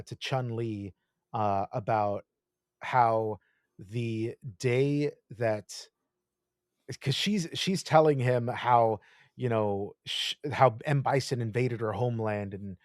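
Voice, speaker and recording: A man co-hosting a podcast, gushing with animated enthusiasm and swearing often in casual chat. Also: mid-range voice, no echo, clean studio sound. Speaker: podcast co-host.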